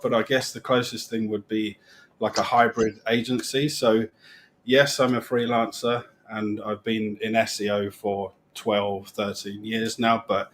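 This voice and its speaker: A middle-aged man with a British accent, chatting as a guest on a live stream and rambling with restarts that trail off quietly. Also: lowish voice, slight background noise. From England. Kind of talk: casual live-stream chat.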